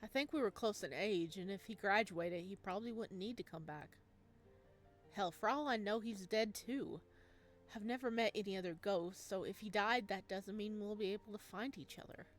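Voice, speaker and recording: A woman's voice: medium pitch, moderate pace, soft background music, natural voice. Woman reading as a lonely, wistful young male ghost. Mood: wistful, resigned. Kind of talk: visual novel read aloud.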